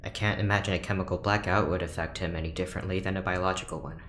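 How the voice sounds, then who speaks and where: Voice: low voice, steady tone, racing, a bit bright. An actor playing a reserved, awkward ship's doctor in a sci-fi audio drama, speaking hesitantly and with stiff formality. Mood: weary, worried. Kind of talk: audio drama acting, naturalistic dialogue. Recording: roomy echo, faint background noise.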